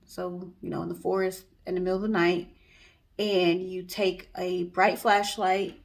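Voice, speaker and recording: A woman's voice: on the low side, little pitch movement, measured pace, gravelly. Woman chatting through a casual story. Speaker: co-host telling stories in a guessing-game show.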